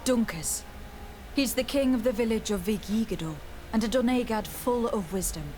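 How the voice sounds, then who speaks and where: An actress playing a composed young noblewoman diplomat in a video game, speaking in a measured, formal way. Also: a little high, mellow, soft background music, natural voice. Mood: earnest.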